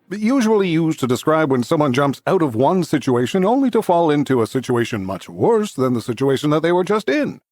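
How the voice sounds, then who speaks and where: A man's voice: on the high side, dry recording, clean studio sound. A man playing a wry, pedantic narrator who tells a comedy space adventure in scripted asides.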